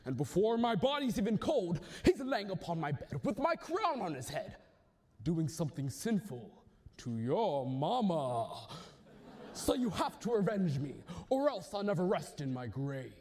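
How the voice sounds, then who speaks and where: Man voicing a ghost king, aggrieved, slangy, high voice, lively swoops. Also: unhurried. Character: vengeful ghost of a murdered king.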